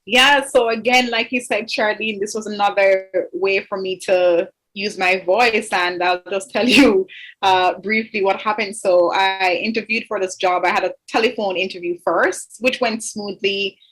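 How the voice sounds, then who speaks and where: A woman's voice: mid-range voice, fairly even intonation, normal speed, fairly bright tone, poor audio. A woman in her twenties from Jamaica, a guest in an interview, speaking earnestly with frequent fillers and restarts. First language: English. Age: 20s. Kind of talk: interview conversation.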